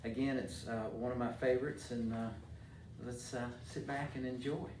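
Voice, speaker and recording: Middle-aged American man, children's story-time speaker, medium pitch, recorded at a distance with faint noise. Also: fairly even intonation, moderate pace, a little mellow. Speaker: mayor reading to children. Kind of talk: informal talk and storybook read-aloud for children. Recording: roomy echo, distant mic, faint noise.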